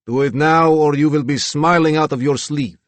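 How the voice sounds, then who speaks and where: A man voicing a young, calm and dangerous Yugoslavian gunman with a Slavic accent and clipped broken English, in an audiobook. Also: on the high side, moderate pace, no echo, voice pushed up, natural voice. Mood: calm menace. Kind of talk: audiobook character dialogue.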